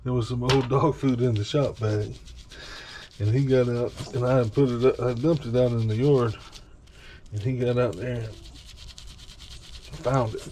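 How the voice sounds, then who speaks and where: A man's voice: mid-range voice, fairly even intonation, moderate pace, pauses often. A man giving casual, rambling commentary as he washes his dog at a kitchen sink, talking now and then to the dog. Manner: rambling, folksy, talks to the dog. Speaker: vlogger washing his dog. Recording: running water noise behind.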